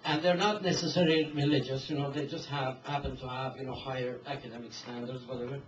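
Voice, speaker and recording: A man telling a story and then putting a question to a speaker, halting with many fillers and restarts. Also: medium pitch, steady tone. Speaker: interviewer. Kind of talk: hesitant spoken question to a speaker. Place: event hall. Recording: distant mic, dull, roomy hall with hiss.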